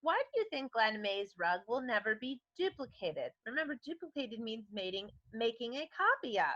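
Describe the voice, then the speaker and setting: A woman speaks as an elementary teacher, posing questions and explaining things to children. Her voice is bright and fairly high, with lots of melodic movement. The sound is slightly dull and lo-fi. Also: big pitch swings, moderate pace. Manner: explanatory, addressing young children directly. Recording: dull lo-fi recording.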